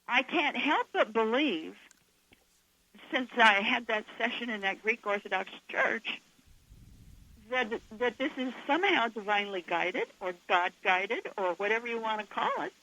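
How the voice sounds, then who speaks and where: A woman from southern Idaho with a hoarse voice, speaking fervently and emphatically as an author guest in a radio interview. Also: fairly high pitch, sharp tone, dull audio. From southern Idaho, USA. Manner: fervent, emphatic, laughs often. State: hoarse from coughing.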